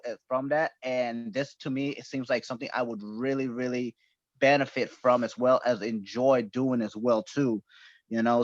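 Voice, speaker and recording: A man's voice: medium pitch, no room sound. Hesitant man giving call feedback. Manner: halting, many fillers, repeats "definitely". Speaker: caller, guest on the call. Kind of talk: casual spoken testimonial. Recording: dry, dull call audio.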